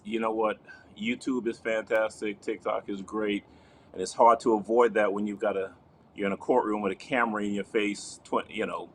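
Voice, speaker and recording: A man's voice: fairly low pitch, fairly bright tone, a little hiss or hum. Trinidad-born man with a New York lilt. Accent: mix of Trinidadian, New York and Georgia. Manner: friendly, storytelling, repeats words as he starts. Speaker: guest, criminal defense attorney. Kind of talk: casual interview chat.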